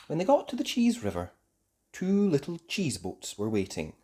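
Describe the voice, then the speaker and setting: A woman reading a children's story aloud as an expressive narrator. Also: low-pitched, moderate pace.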